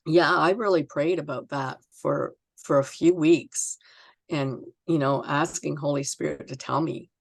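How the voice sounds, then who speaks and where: A woman author being interviewed, answering conversationally in a reflective, halting way as she searches for words. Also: sits low, steady tone, vocal fry, no echo.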